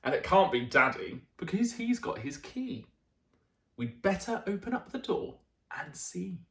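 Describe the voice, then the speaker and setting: A man voicing a polite, puzzled mother from a children's storybook, in a wondering, gently exclaiming manner. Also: sits high, sing-song, measured pace, echoey room, far from the mic, full character voice. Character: Sophie's mummy, a polite, puzzled young mother.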